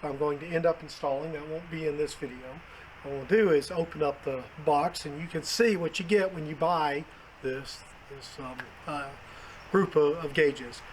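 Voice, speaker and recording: A hoarse man, hosting a casual unboxing vlog with plenty of fillers. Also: fairly high pitch, steady tone, normal speed. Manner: chatty, self-deprecating, many fillers. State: hoarse, losing his voice. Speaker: car channel host. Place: outdoors then indoors at home. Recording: echoey room with background noise.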